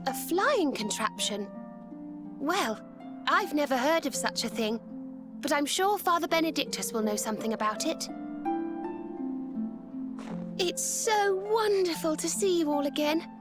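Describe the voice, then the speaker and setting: A woman with a British accent playing a gentle, polite young magical bard in a video game, sweet and courteous in manner. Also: sits high, big pitch swings, normal speed, music in the background. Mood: warm, gentle. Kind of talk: video game character acting.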